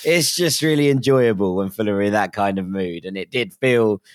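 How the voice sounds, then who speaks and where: A hoarse man hosting a casual football podcast, chatty and enthusiastic with frequent ums. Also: on the low side, moderate pace, dry recording, clean studio sound. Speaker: podcast host.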